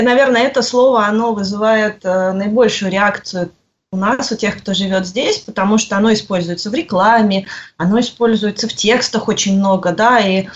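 A woman answering interview questions, pausing often and searching for her words. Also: medium pitch. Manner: hesitant, searching for words with frequent pauses. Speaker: guest. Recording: muffled lo-fi audio.

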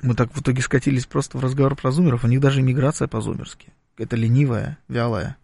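A man's voice: medium pitch, fairly even intonation, fast talker, vocal fry, warm tone, no echo. A man hosting a radio talk show, reading listener messages and commenting in a chatty, ironic way. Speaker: radio host.

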